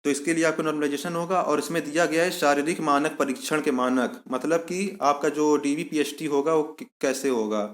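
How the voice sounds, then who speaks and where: Higher-voiced man explaining. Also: fairly even intonation, fairly quick, few pauses. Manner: instructional, repeats key points for emphasis. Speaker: exam information channel host. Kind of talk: explanatory walkthrough of a recruitment notice.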